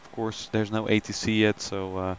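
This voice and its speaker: A man's voice: fairly low pitch, flat delivery, normal speed, highs cut off, a little hiss or hum. A man hosting a flight simulator live stream, chatting casually with many ums and greeting viewers by name.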